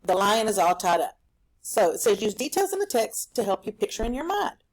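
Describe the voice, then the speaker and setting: A woman teaching a recorded reading lesson for children at home, explaining a story in an animated, explanatory way. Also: mid-range voice, fairly quick, low-quality recording.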